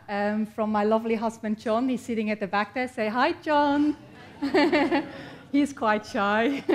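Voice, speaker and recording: Chatty Swiss woman addressing a workshop. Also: a little high. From Switzerland. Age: 40s. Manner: chatty, laughs often, engages the audience. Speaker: workshop speaker. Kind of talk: motivational talk to a live audience. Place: workshop event room.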